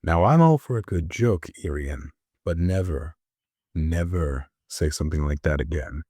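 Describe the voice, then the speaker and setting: A man voicing a wry, instructive train conductor in an audio drama, speaking in a measured, mock-genteel manner. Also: rumbling low, lively intonation, warm tone, breathy edge, no room sound, clean studio sound, voice pushed down, exaggerated voice. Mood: stern. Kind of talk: audio-drama character dialogue.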